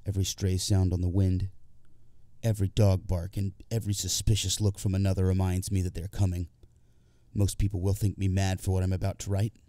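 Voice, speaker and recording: A man's voice: deep voice, level tone, brisk, dark tone, no echo. A man reading a scripted first-person literary story as an audiobook narrator.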